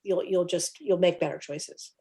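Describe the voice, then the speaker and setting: Low-voiced woman nutrition coach. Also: steady tone, normal speed, dark tone. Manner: explanatory, many restarts and fillers. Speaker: functional nutrition and health coach. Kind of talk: casual interview talk.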